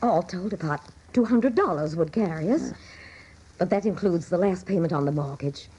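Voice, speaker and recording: An actress playing a gentle, careworn middle-aged housewife in a radio drama, soothing and patient. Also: female voice, fairly low pitch, moderate pace, breathy edge. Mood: patient, encouraging.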